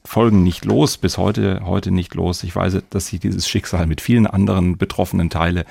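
Male podcast host, low register with fry, conversational interviewer. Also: steady tone, moderate pace, darkish tone, dry recording.

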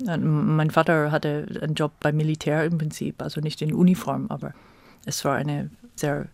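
A woman's voice: low-pitched, little pitch movement, normal speed, creaky, a little mellow, no echo. A middle-aged woman from the United States, a native English speaker with an American accent, reminiscing conversationally as an interview guest. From Virginia, United States.

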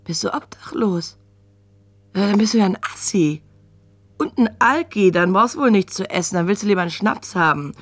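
An actress playing a blunt, nosy shopkeeper with a colloquial, muttering way of talking in a radio drama. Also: female voice, medium pitch, lively intonation, normal speed, no room sound, close to an everyday voice. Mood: scornful. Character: brusque, nosy, heavy-set female kiosk keeper. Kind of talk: German radio drama acting.

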